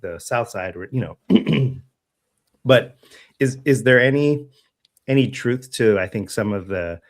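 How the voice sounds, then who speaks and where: An American man co-hosting a podcast, discussing local news casually in a measured, halting way. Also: mid-range voice, animated. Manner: halting, measured, frequent throat clearing. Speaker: podcast co-host.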